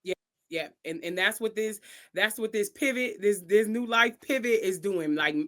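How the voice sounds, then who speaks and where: A woman's voice: mid-range voice, normal speed, fairly bright tone. A woman in her thirties, a podcast guest, talking casually and emphatically, often repeating phrases for emphasis. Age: 30s. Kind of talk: casual interview chat.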